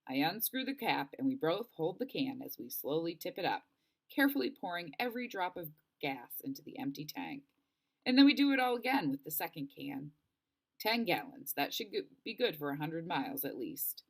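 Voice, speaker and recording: A woman narrating a children's novel aloud in an expressive storybook style, acting out the dialogue. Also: low-pitched, moderate pace. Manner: animated, acts out dialogue.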